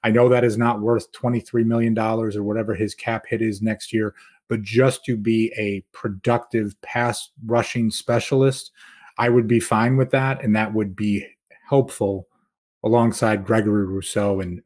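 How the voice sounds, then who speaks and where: A man's voice: fairly low pitch, steady tone, normal speed, darkish tone. A man giving casual sports analysis as a podcast guest, explaining his points conversationally.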